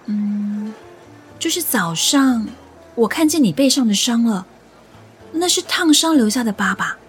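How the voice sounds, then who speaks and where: High-pitched, lively young woman. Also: unhurried, faint music behind. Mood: hesitant, careful. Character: earnest, curious young woman working in a cafe. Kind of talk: Mandarin audio romance drama acting.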